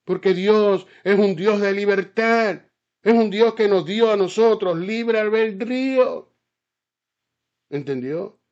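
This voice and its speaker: Fiery high-voiced male preacher. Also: slow, darkish tone. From Caribbean island. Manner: fiery, repeats himself, asks the listener if they understand. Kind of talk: sermon. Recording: slightly dull, lo-fi sound.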